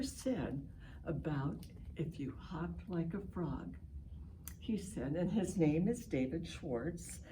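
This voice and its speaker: A woman's voice: sits low, dark tone, a little hiss or hum, low-quality recording. A woman reading a picture book aloud to children, with exaggerated emphasis, exclamations and simple asides to her young listeners. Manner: explains simply, addressing young listeners directly. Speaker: reading session leader for children.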